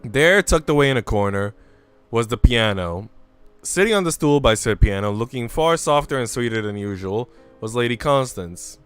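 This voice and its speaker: A man with a smooth, clear voice, livestreaming as he reads a visual novel aloud and chats casually. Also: mid-range voice, expressive, normal speed, a bit bright, faint music behind. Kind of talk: livestream narration and casual chat.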